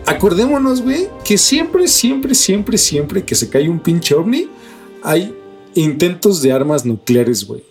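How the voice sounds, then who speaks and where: A man's voice: sits high, sing-song, measured pace, a little mellow. A man with a Mexican accent co-hosts a podcast with music playing behind him. He moves between reading news items and chatting casually. His talk is full of street slang and swearing. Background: background music.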